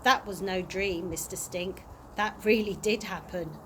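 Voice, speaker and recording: A woman's voice: mid-range voice, a little slow, voice pushed down, lightly acted. A woman reading the part of an eager, caring young girl in a storybook, earnest and questioning. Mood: insistent. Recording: audible background noise.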